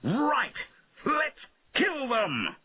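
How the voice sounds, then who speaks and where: A man voicing a proud, reckless young king who shouts his orders in a high, strained voice, heard over tinny phone-like audio. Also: huge pitch swings, slow pace, exaggerated voice. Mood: shouting.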